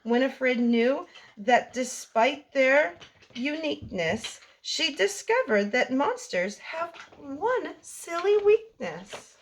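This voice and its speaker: A woman reading a picture book aloud to young children as a storytime host, in an expressive sing-song delivery. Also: high-pitched, slow, poor audio.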